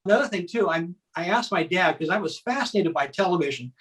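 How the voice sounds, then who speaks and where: An older man with a slightly high voice. He talks casually as a guest, telling personal memories in a loose, conversational way. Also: lively intonation, fast, a bit bright, breathy edge. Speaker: guest, former child contestant. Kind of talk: casual reminiscing.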